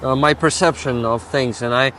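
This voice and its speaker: A man with a Ukrainian accent, a native Russian speaker, hosting a live-streamed street interview outdoors. He talks in an earnest, encouraging way and thinks aloud slowly. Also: mid-range voice, bright, forceful tone, slight background noise. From Ukraine, Donetsk Oblast.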